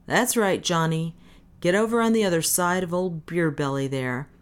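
A woman voicing a gruff, drunken man who is defiant and sardonic, with brash slangy talk, in an audiobook. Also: on the low side, normal speed, natural voice. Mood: drunken bravado. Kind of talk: dramatic audiobook character reading.

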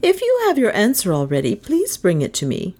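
A woman's voice: medium pitch, huge pitch swings, brisk, a little mellow, voice pushed up. A woman reading a children's story as a stern female schoolteacher who is formal, clipped and reproachful. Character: stern, shaming schoolteacher woman, cool and exacting. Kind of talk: children's audiobook character voice.

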